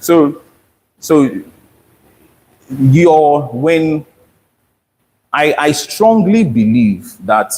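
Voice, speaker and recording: A man's voice: medium pitch, a little mellow, recorded from a distance. A man giving a live presentation, quizzing the audience and restarting his sentences as he goes. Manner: interactive, quizzes the crowd, many restarts. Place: large hall with a live audience. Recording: echoey hall, voice from afar, noisy.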